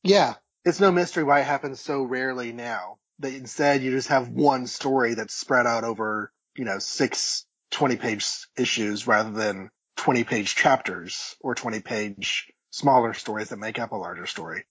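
A man's voice: medium pitch, normal speed, hardly any pauses, a bit bright, breathy edge. A man co-hosting a podcast, casually recapping and discussing with enthusiastic, rambling asides. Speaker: podcast co-host. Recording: dull, lo-fi audio, slightly roomy.

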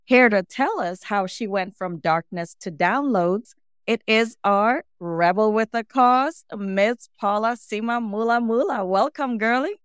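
A woman's voice: on the high side, unhurried, clean tone, no room sound, clean studio sound. A woman speaking as a podcast host, introducing and interviewing in a prepared, presentational style.

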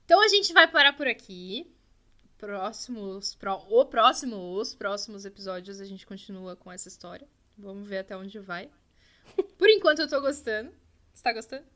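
A woman's voice: medium pitch, animated, moderate pace, sharp tone. Laughing woman game master. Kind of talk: narrating a tabletop game.

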